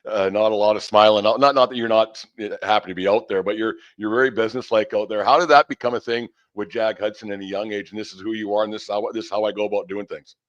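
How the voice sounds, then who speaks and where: Fast-talking middle-aged man, dull dry sound. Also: on the low side, fairly even intonation, dry recording. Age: 50s. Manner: rambling, joking and self-deprecating. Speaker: sports show host and interviewer. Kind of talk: casual chatty sports interview.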